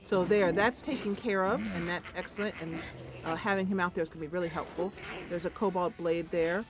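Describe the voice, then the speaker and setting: A woman's voice: lowish voice, steady tone, fairly quick, noisy background. A woman doing casual gameplay commentary, thinking aloud playfully and talking to the game's characters. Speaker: host of a game playthrough.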